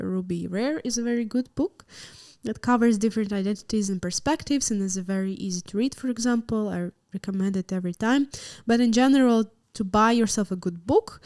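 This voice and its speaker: A woman youth worker and sex educator on a live panel, giving practical, clear advice. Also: mid-range voice, a little mellow, no room sound, studio quality.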